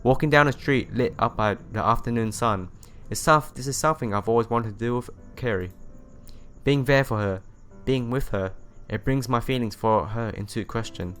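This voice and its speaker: A man's voice: mid-range voice, moderate pace, a bit bright. A man reading visual novel narration aloud as a let's player, telling it expressively and sometimes stumbling and rereading phrases. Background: music.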